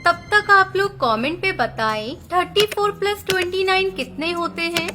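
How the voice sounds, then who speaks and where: Very high-pitched woman storyteller. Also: normal speed. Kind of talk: scripted narration of a children's story. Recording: clear music bed under narration. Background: music.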